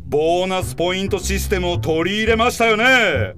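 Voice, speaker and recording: Flamboyant, high-voiced cocky consultant. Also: male voice, fairly bright tone. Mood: dramatic. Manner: theatrical, showy, mock-polite with drawn-out catchphrases. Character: flamboyant, cocky male business consultant, theatrical and teasing. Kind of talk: comedic radio drama acting.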